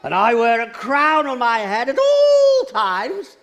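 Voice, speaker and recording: A pompous pantomime king. The voice is high and loud, swooping through slow, drawn-out boasts in a reverberant hall. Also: wildly swooping pitch, creaky, full character voice. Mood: pompous mockery. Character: mocking impression of pompous, self-important king. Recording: hall reverb, live stage production.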